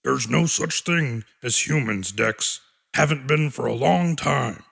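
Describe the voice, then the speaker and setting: Towering elderly monster father, male reader, mid-range, calm and reassuring with tired hesitation, audiobook dialogue. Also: mid-range voice, slow pace, creaky, slightly rough, full character voice. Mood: weary, uneasy. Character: huge old monster father, weary, soothing war veteran.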